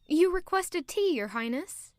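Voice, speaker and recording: A woman's voice: very high-pitched, animated, unhurried, no echo, studio quality. A woman playing a polite young servant girl. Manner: polite, deferential and soft-spoken. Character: young female palace servant, polite and deferential. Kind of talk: audio drama acting.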